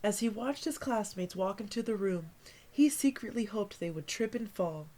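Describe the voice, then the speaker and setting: Woman, teacher role, children's story read-aloud, lively intonation, middle pitch, chatty asides. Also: a little hiss or hum. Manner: pauses for asides to young listeners. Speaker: teacher reading aloud to pupils. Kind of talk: expressive picture-book read-aloud for children.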